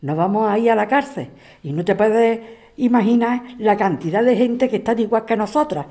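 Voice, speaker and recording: A woman's voice: on the high side, moderate pace, warm tone, lightly acted. A woman with an accent from Spain playing a middle-aged mother in an audio drama, reassuring and insistent, tired of running. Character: resolute, pleading mother, tired of running, calming daughter. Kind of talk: acted audio drama dialogue.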